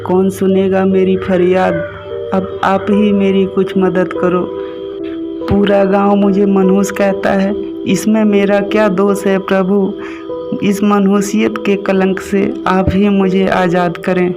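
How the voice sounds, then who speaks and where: A man's voice: sits high, monotone, slow. A man playing a sorrowful, devout village boy in a cartoon story, pleading plaintively. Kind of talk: Hindi cartoon story dialogue. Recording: music behind the voice.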